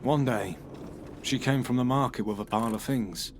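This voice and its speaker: An actor playing a troubled man, giving a halting, brooding confession under questioning. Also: medium pitch, background noise, natural voice. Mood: uneasy. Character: troubled middle-aged man, paranoid and bitter. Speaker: suspect being questioned.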